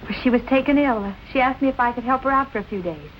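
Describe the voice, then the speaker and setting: An actress playing a plucky young photographic model who politely digs for gossip in 1940s film noir style. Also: female voice, sits high, fast talker, a little hiss or hum, natural voice. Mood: polite, evasive. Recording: dull old film soundtrack, background music.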